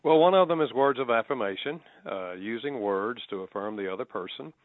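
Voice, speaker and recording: A man's voice: medium pitch, lively intonation, a little fast, dry recording. A man with a Southern US accent, a radio guest and author, explaining in a folksy, plain-spoken way with chuckles. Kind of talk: radio interview. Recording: phone-line guest, dry.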